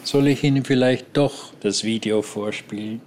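An actor playing a stern, condescending official who interrogates and fines a hiker in a dry tone. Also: male voice, medium pitch, moderate pace, a little mellow, slight background noise, lightly acted. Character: stern, smug male nature-reserve enforcer.

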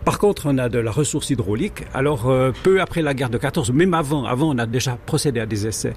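A man with a Swiss French accent explains history in a conversational way. He is good-humoured and a little hesitant, wandering into asides. His voice is mid-pitched, with slight ambient noise behind it. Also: animated, fast talker. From French-speaking Switzerland, Jura arc. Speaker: guest, amateur railway historian.

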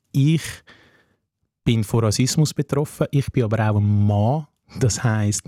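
A man's voice: mid-range voice, warm tone, dry recording, clean studio sound. A man from Afghanistan with a Swiss German accent, a social worker in his twenties, speaking earnestly and reflectively as an interview guest. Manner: earnest, searching, repeats phrases with "hey".

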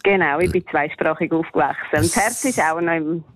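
A woman with a Swiss German accent, a teacher, chatting on a radio quiz and answering quickly with a cheerful manner. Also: low voice, dry recording. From eastern Switzerland. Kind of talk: casual radio chat.

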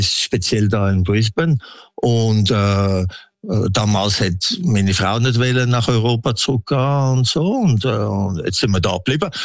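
A man in his sixties with a Swiss German accent, telling his life story as an interview guest in a halting, anecdotal way. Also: on the low side, dry recording, clean studio sound. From Switzerland. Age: 60s.

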